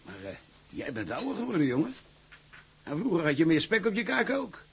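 An actor playing a hearty, chatty, blunt old ship's engineer in a Dutch radio drama. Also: male voice, high voice, huge pitch swings, a little fast, slight background noise, full character voice.

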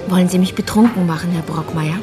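A woman's voice: lowish voice, fairly even intonation, fast, music in the background, close to an everyday voice. An actress playing an earnest, vulnerable policewoman in a radio crime drama, speaking plainly and directly. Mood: wary, teasing.

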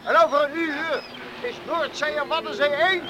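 A man's voice: very high-pitched, normal speed. An actor playing a seasoned, frank helmsman and beachcomber uncle in a radio play. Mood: grave, firm. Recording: noisy outdoor storm sound effects.

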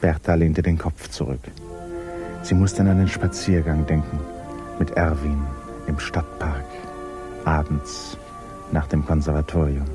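An actor narrating a 1960s radio play in a measured, literary manner. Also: male voice, extremely low pitch, little pitch movement. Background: music.

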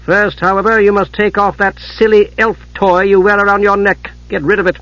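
A man's voice: high-pitched, little pitch movement, normal speed, bright, forceful tone, dull audio, voice pushed up. A man voicing a cold, scornful and domineering warrior mother in a fantasy story, with a sneering, commanding delivery. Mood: contemptuous.

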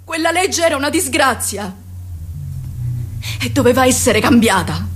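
An actress playing a wounded yet defiant survivor, telling her past in an emotional, deliberate radio monologue with dramatic pauses. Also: sits high, lively intonation, faint music behind. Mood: proud, resolute. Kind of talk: theatrical radio monologue, first-person testimony.

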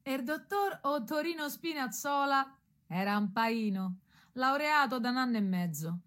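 A woman's voice: high-pitched, expressive, moderate pace, fairly bright tone. A woman playing a mocking working-class townswoman narrator in a radio drama, with a Roman accent and colloquial theatrical delivery. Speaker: narrator in a radio stage serial. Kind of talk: theatrical storytelling in colloquial dialect.

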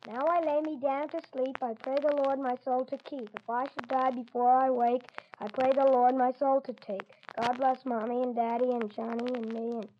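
High-voiced earnest little boy acted out. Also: flat delivery, moderate pace, few pauses, natural voice. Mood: earnest, praying. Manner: childlike, simple pleading speech. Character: small earnest boy, eager and devout at bedtime. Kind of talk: 1940s-50s radio drama acting. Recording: muffled, noisy old radio recording.

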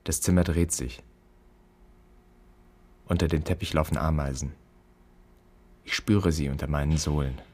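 A man's voice: extremely low pitch, fairly even intonation, unhurried, pauses often, a little mellow. An actor playing a bitter grown son who broods and declaims against his absent father in a literary radio drama. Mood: haunted, brooding. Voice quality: husky. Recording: long reverberant hall.

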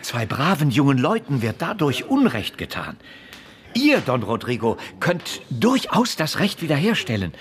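An actor playing a humble, earnest friar in a radio drama, speaking courteously and with some hesitation. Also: male voice, medium pitch, wildly swooping pitch, normal speed.

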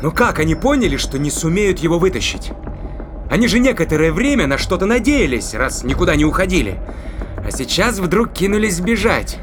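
An actor playing a ruthless, mocking spaceship captain in a science-fiction audio play, taunting and commanding. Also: male voice, sits high, very animated, a little fast, noisy background. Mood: intent reasoning.

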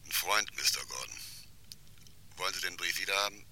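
An actor playing a cool, calculating blackmailer, terse and slangy, in a German radio crime drama. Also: male voice, low-pitched, fairly quick, bright, forceful tone, a little hiss or hum. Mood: sly. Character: shady male blackmailer on the telephone, cool and calculating.

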